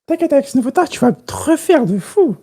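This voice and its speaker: A woman's voice: high-pitched, very animated, a little fast, dark tone. A woman improvising a bossy, teasing young recruiter in comedy role-play, goading in casual slang. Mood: smug, approving. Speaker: amateur role-player on a stream.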